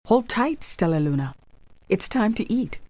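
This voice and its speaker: A woman's voice: mid-range voice, very animated, measured pace, warm tone. A woman voicing an urgent, protective mother bat in a children's storybook read-along. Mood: caring.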